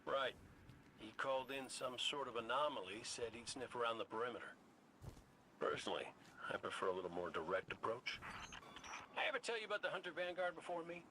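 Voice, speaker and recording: A roguish veteran gunslinger robot from a video game, wry and full of swagger. The voice is bright and forceful and swings freely in pitch, with faint noise behind. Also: male voice, animated, fairly quick, rough recording. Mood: nostalgic. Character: cocky wisecracking veteran robot gunslinger scout, folksy and roguish.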